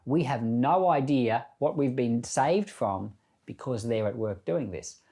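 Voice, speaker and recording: A man's voice: mid-range voice, expressive, a little slow, gravelly, warm tone. A man speaking as a pastor, giving informal Bible teaching in a reflective, conversational storytelling manner.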